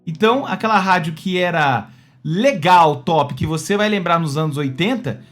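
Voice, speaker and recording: A Brazilian man hosting a casual opinion video, talking to viewers in an expressive, conversational way that often seeks their agreement. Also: fairly high pitch, normal speed, faint music behind. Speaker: YouTube channel host.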